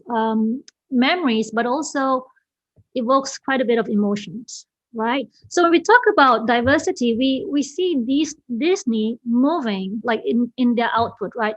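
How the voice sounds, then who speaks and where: The recording is a little dull and very dry, as on an online call. A woman from Malaysia speaks in a fairly high voice as host and presenter. She is informal and hesitant, circling back over phrases and checking that listeners follow. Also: no room sound. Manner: hesitant, repeats phrases, often checks in with "right?". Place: online video meeting.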